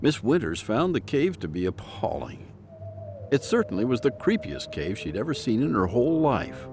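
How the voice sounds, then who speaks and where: A man's voice: mid-range voice, big pitch swings, normal speed, noisy background. Man narrating with very dramatic swoops. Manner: theatrical, suspenseful. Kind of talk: dramatic scripted storytelling.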